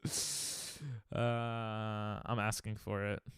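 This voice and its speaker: A man's voice: on the low side, fairly even intonation, very slow, smooth, no echo, studio quality. A man streaming a game, chatting casually and sarcastically with his viewers. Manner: sarcastic, joking banter with chat. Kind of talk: casual live-stream chat while gaming.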